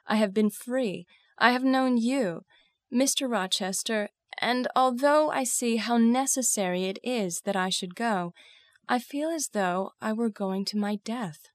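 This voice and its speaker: An actress playing an earnest, proud young governess, speaking with careful, emotional delivery in a dramatized classic novel. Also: female voice, fairly high pitch, lively intonation, unhurried, no room sound, studio quality. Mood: heartfelt sorrow. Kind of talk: first-person dramatized storytelling of classic novel.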